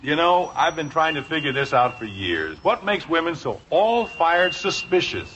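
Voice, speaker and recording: An evasive, exasperated husband and father from a 1950s radio comedy. His voice leaps up and down as he stammers and hedges. The old recording is muffled, with clear background noise. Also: a little high, sing-song, moderate pace, a bit bright. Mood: wry, weary. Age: middle-aged. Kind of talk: 1950s radio sitcom acting.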